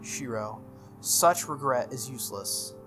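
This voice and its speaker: A man's voice: mid-range voice, animated, measured pace, natural voice. A man voicing a stoic, dutiful young female sword-wielding servant from a visual novel, formal and matter-of-fact. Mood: solemn, firm. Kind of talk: visual novel character read-aloud. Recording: music under the voice.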